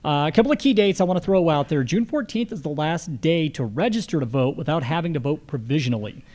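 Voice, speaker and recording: A man's voice: a little high, animated, fast, darkish tone. An American man speaking as a radio host and moderator at a live candidate forum.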